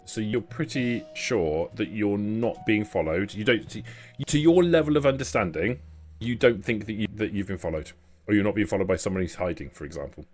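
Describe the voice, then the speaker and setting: Low-voiced male game master. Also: a little fast, faint music behind. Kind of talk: improvised tabletop game narration.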